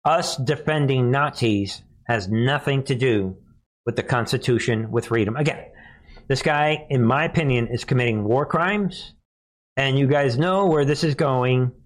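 A man's voice: mid-range voice, unhurried. Emphatic American man, derisive commentary. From United States. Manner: emphatic, derisive, repeats phrases for effect. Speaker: news commentary show host. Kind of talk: live political commentary.

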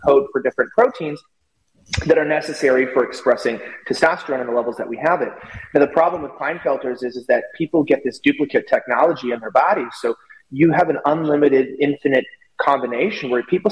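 A man's voice: mid-range voice, highs cut off. A man giving casual, opinionated commentary as a talk show guest, explaining at length in a rambling way. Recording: echoey room with long reverb.

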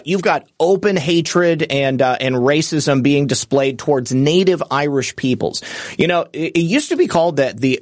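A man's voice: mid-range voice, no room sound, clean studio sound. A man hosting a political talk show, delivering an unscripted monologue in a rambling, emphatic way with frequent fillers and restarts. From United States. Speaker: political commentary show host.

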